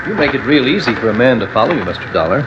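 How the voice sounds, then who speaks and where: An actor playing an anxious middle-aged father in an old radio drama, earnest, folksy, halting and pleading. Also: mid-range voice, very animated, music in the background, close to an everyday voice. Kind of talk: vintage radio drama acting. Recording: dull, noisy old radio recording.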